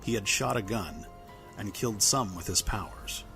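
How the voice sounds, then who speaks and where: A man's voice: fairly low pitch, moderate pace, creaky, over music. A man reading scripted dramatic fiction as a narrator.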